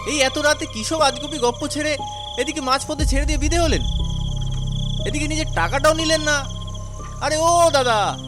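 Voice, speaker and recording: A man's voice: very high-pitched, moderate pace, pauses often, loud music behind the voice. A man playing an irritable, mocking young husband in an audio horror story, with a scoffing, indignant manner.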